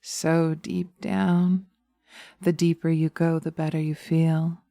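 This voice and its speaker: A seductive, vengeful witch acted by a woman murmurs in a slightly low, mellow voice with a gravelly fry. She draws out her words very slowly, dominant and teasing, as in a close-miked hypnosis audio roleplay. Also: level tone, dry recording, exaggerated voice. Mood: seductive, commanding. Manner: sultry, slow, hushed, often dropping to a whisper. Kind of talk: slow hypnosis roleplay, ASMR. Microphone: close binaural microphone.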